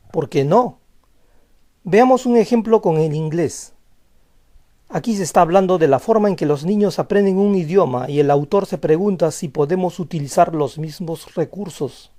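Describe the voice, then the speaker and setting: High-voiced man teaching calmly. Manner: calm, explanatory, reads example phrases slowly. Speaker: language teacher. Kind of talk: scripted voice-over lesson.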